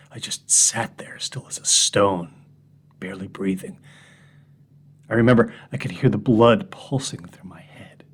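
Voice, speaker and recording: A man's voice: fairly low pitch, slightly rough, full character voice. A man playing a shaken, bitter storyteller. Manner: bitter, agitated, swinging from loud outbursts to hushed whispers. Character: shaken man addressing a room of gentlemen. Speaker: narrator. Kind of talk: first-person horror story reading.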